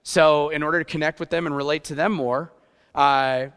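A youth pastor preaching a sermon in a conversational way, with rhetorical questions and playful asides. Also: male voice, a little high, fairly even intonation, normal speed, fairly bright tone, smooth. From grew up partly in Japan. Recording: long hall reverb.

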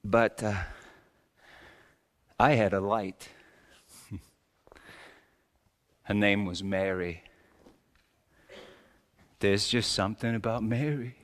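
A man playing Joseph, a wry, bewildered carpenter, in a halting, colloquial and theatrically emotional dramatic monologue. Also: on the low side, fairly even intonation, pitched below the performer's own voice. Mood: wistful. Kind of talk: dramatic comic monologue in a sermon. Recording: echoey hall with long reverb.

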